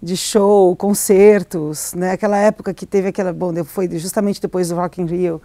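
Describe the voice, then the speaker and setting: A woman's voice: lowish voice, fairly even intonation, moderate pace, dark tone, no echo. A middle-aged woman, an author raised in Argentina, answering interview questions in a hesitant, reflective way with many restarts and fillers. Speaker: novelist, interview guest.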